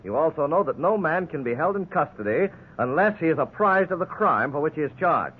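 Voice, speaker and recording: An actor playing a principled defense lawyer, speaking firmly and formally in a 1950s radio drama. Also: male voice, on the high side, animated, brisk, breathy edge. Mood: commanding. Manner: firm, formal, righteous. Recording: tinny, noisy 1950s radio recording.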